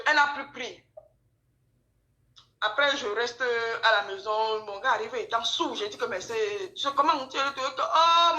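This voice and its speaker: A high-pitched woman chats casually in an echoey room. She tells gossip in an animated way, circling back over phrases to drive them home. Her voice is bright and forceful. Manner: animated storytelling, repeats phrases for emphasis.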